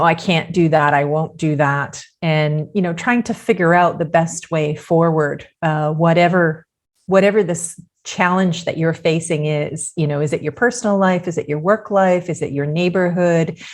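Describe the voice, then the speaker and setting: A woman guest on a podcast interview, talking in a thoughtful, measured way and using many fillers. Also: deep voice, a little mellow.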